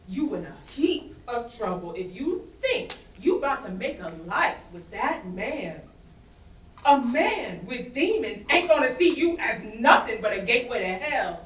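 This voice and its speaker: Woman acting a worldly, hard-bitten dame, echoey stage. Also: fairly high pitch. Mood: knowing, warning. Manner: knowing, blunt, Southern-flavored vernacular. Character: worldly, hard-bitten, cynical Creole woman advising younger gal. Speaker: student actor in a staged reading. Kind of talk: dramatic staged reading of a stage play. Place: indoor festival staged reading. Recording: echoey room, distant mic, faint noise.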